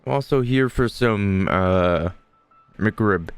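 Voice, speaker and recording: A man's voice: lowish voice, slow, smooth, dry recording. A man giving casual let's-play commentary as a game streamer, offhand and slangy.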